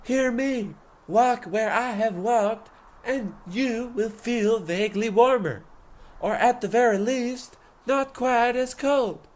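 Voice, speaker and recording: A pompous medieval monarch in a radio play parody. He declaims slowly and theatrically, very pleased with himself and careless of those beneath him. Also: very high voice, a little mellow. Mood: haughty, scolding. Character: pompous, self-admiring, callous medieval king. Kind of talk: parody of old radio drama.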